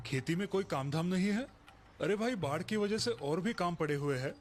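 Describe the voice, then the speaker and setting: A man voicing a nosy, doubting village headman with a condescending, questioning manner in an animated moral story. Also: high voice, moderate pace, faint music behind. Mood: doubting, dismissive.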